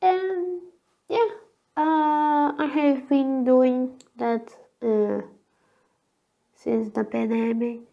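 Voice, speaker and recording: A woman with a thin, girly voice, hoarse from a cold, chatting alone on camera and often searching for words. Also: sits high, steady tone, drawn-out words, pauses often, highs cut off. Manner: hesitant, searching for words, frequent fillers. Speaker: vlogger. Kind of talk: casual solo chat.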